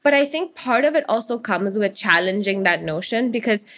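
A woman in her twenties from Hong Kong, a guest entrepreneur telling stories earnestly in a casual interview, with quick asides. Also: medium pitch, expressive, a bit bright. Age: 20s.

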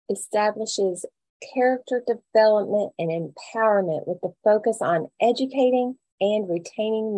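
A woman co-hosting an education podcast, opening the show and reading out a guest's introduction in a measured way. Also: mid-range voice, warm tone, no room sound, low-quality recording. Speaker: podcast co-host, English education professor.

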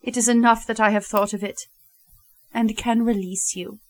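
Heartsick young fiancée, played by a woman, period radio play: earnest, formal, higher-pitched, dry close sound. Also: steady tone, moderate pace, no room sound, lightly acted. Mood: sorrowful, grave. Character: sorrowful, resolute young fiancée releasing her beloved. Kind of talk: theatrical radio drama acting.

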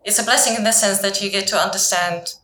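A woman's voice: medium pitch, quick pace, sharp tone, reverberant. A woman from Czechoslovakia whose first language is Czech, a lawyer chatting as a podcast guest in a light, self-deprecating way. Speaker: guest, senior associate lawyer. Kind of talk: casual podcast chat.

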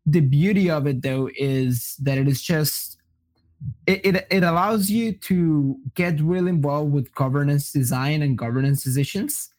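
A young man from Colombia, a native Spanish speaker, answering interview questions in a relaxed way with many fillers and restarts. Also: a little high, measured pace. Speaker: guest. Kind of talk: casual interview.

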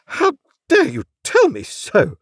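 An imperious upper-class mother from a classic novel, as a male narrator plays her, pitched very high and swooping with affronted dignity. She speaks as one who expects to be obeyed. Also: huge pitch swings, normal speed, darkish tone, no room sound, studio quality, exaggerated voice. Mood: scolding. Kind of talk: dramatised classic novel reading.

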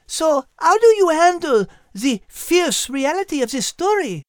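A woman plays a prim, clever little female creature with a French accent. The voice is very high, with precise, formal phrasing fit for a children's animal story, recorded dry and close. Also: sing-song, a little slow, dry recording. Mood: polite, earnest. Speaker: co-host and character in an animal story podcast. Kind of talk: children's audio drama.

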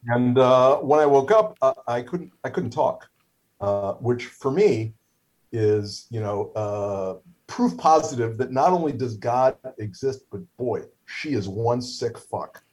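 A man's voice: medium pitch, animated, slow, darkish tone. A middle-aged man whose first language is Hebrew, telling a story casually with many fillers, restarts and wry humor. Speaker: guest, stroke survivor.

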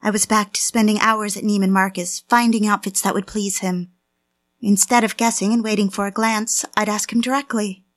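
An actress playing a yearning, insecure mistress in a melodramatic audio drama, intimate and confiding in manner. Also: female voice, mid-range voice, level tone, normal speed, natural voice. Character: yearning, jealous, insecure mistress narrating her affair. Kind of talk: melodramatic audio drama with first-person narration.

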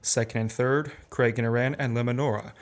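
A man's voice: medium pitch, fairly even intonation, a little fast, natural voice. A young man playing a proud music-hall memory performer in a radio play, reciting facts in a formal, precise manner. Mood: proud, exact. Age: young adult. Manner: formal recitation, crisply factual. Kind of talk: stagey radio play acting.

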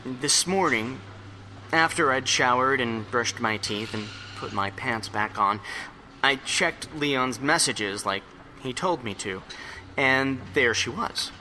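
A man playing an excitable, hungover rambler in an audio drama monologue, stammering, repeating and correcting himself as he confides. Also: medium pitch, a little hiss or hum, poor audio. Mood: sheepish, earnest. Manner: halting, repetitive, self-correcting rambling.

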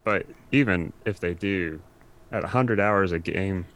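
A man's voice: low voice, measured pace, fairly bright tone. A man talking as a podcast guest in a casual, conversational style. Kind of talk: casual chat about anime and games.

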